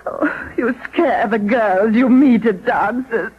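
A woman's voice: on the high side, slow pace. An actress playing an exasperated old peasant mother, scolding her son theatrically in a 1940s radio drama. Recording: muffled 1940s radio broadcast, faint noise.

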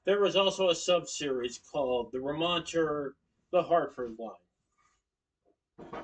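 A man's voice: on the high side, takes it slowly. A young man from Connecticut giving an informative class speech at home, standing and gesturing, sometimes correcting himself. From Connecticut, USA. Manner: standing, gesturing while speaking, occasional self-corrections. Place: living room at home, indoors. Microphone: webcam across the room. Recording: voice from a distance.

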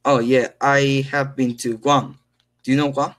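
Korean teen boy, halting learner English. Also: mid-range voice, little pitch movement, fairly bright tone. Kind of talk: casual conversation practice. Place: indoors, small room at home.

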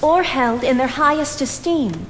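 A woman's voice: high voice, animated, unhurried. A young actress on stage playing a sincere, spirited 19th-century woman with formal, careful phrasing. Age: young adult. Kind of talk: theatrical stage acting. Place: theatre stage, indoors. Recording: live theatre, reverberant, faint noise.

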